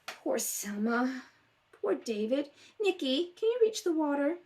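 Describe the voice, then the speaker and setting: A woman's voice: high-pitched, very animated, a little slow, a little mellow. A woman playing a chatty, wakeful wife, wheedling and teasing, in an old-time radio comedy-drama. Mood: fretful. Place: home kitchen table. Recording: distant home rehearsal recording.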